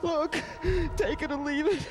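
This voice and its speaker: An actor playing a shady, defiant immigrant thief in a video game, agitated and pleading with a strained, gravelly voice. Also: male voice, extremely high pitch, measured pace. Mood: frantic. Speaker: game character. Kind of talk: dramatic video game voice acting.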